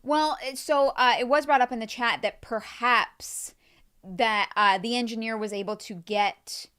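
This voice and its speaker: Smooth-voiced woman co-host, easygoing. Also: a little high, steady tone, unhurried, bright, forceful tone. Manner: hesitant restarts, laughs easily, agreeable. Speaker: podcast co-host. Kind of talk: casual spoiler chat.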